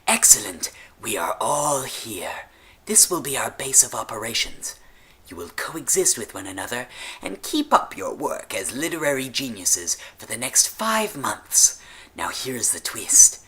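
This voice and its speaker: A man voicing an eccentric, grandiose villain-like leader, giving speeches with dramatic pauses in an audio story. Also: medium pitch, big pitch swings, bright, forceful tone, breathy edge, heavily acted. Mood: pompous. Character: eccentric supervillain-like male benefactor, theatrically grandiose.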